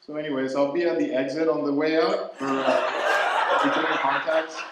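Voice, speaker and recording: A young man from Ghana, doing a stand-up set with a dry, halting delivery full of fillers and restarts, laughter behind him. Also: on the high side, steady tone. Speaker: stand-up comedian. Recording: very echoey room, faint noise. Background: audience laughter.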